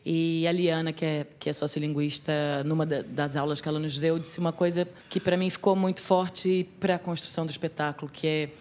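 A woman with a Brazilian accent worn smooth by years in Portugal talks with a lively storyteller's energy. Her voice sits somewhat low for a woman, and she speaks as a guest in a relaxed interview. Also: fairly quick, hardly any pauses. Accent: Brazilian Portuguese, softened by life in Portugal. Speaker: theatre artist guest. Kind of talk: conversational storytelling.

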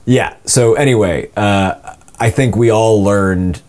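Man, very low voice, young adult, rambling, lots of ums and restarts, casual interview talk. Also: slow, darkish tone. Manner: rambling, many fillers and restarts. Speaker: podcast actor and co-producer.

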